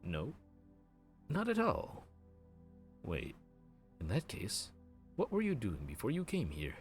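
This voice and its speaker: A man's voice: fairly low pitch, wildly swooping pitch, slow pace, soft background music, heavily acted. A man voicing a weary male grim reaper who runs the underworld queue, a sarcastic and exasperated bureaucrat. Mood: puzzled. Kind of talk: audio roleplay.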